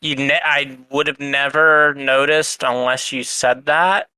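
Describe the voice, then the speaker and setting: The agreeable third guy on a podcast panel, clear and bright, tentatively offering his take. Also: mid-range voice, fairly even intonation, unhurried, no echo. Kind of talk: casual chat.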